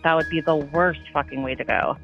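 A woman's voice: deep voice, steady tone, a little fast, lo-fi sound, faint music behind. A woman interviewee recalling events casually in a played clip, peppering her speech with "like.". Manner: fills speech with "like". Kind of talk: casual recollection.

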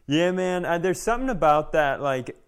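A man's voice: a little high, animated, moderate pace, clean tone. A man co-hosting a comedy podcast, riffing casually with some swearing and little acted-out exchanges.

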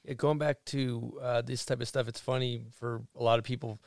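A man's voice: mid-range voice, fairly even intonation, moderate pace, creaky, no echo, studio quality. A man hosting a podcast, chatting casually in a jokey, rambling way with bro humor. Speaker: podcast host. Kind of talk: casual chat.

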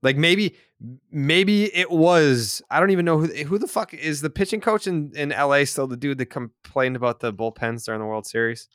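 A man's voice: a little high, normal speed, hardly any pauses, fairly bright tone, no room sound, clean studio sound. A man in his thirties co-hosting a casual sports podcast, loose and joking, swearing freely. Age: 30s. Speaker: podcast co-host.

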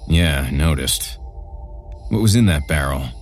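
A man's voice: a bass voice, lively intonation, a little slow, faint music behind, full character voice. A man voicing a bewildered, frightened hospital patient with an anxious, pleading manner in a horror story. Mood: confused.

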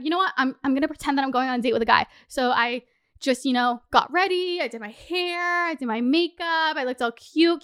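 A woman, telling a candid personal story in a chatty, animated, sweary way full of "like". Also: high-pitched, no room sound, clean studio sound. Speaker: podcast host.

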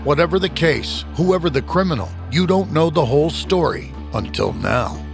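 A man with a slightly high voice reads a scripted advertisement. His delivery is dramatic and measured, with music playing under him. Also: animated, gravelly. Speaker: ad announcer. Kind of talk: scripted ad read.

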